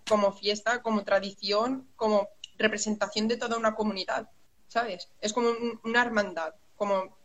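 A young woman from Valencia speaking as a guest in a studio interview, in a mid-pitched voice. She answers earnestly and often chimes in with short agreeing words. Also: fairly bright tone, poor audio. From Valencia, Spain. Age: young adult. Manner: brief agreeing replies, earnest. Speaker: student guest. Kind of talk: radio interview. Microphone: studio microphone.

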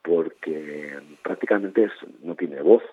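A man's voice: extremely low pitch, slow, slightly rough. A man in his fifties from Spain, a novelist answering interview questions thoughtfully, often repeating words and ending with tag questions. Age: 50s. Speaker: guest novelist. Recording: tinny phone-like line.

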